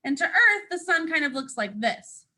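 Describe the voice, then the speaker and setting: A woman teacher reading aloud to young children, explaining things simply in an animated way. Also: high-pitched, moderate pace. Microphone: speaking to a hand-adjusted camera.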